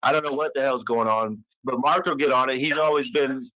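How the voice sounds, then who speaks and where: A man in a private phone conversation, questioning in a halting, coaxing way, often repeating and rephrasing himself. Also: medium pitch, steady tone, pushed and bright. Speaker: caller questioning the other speaker. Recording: recorded phone call. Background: recorded phone call.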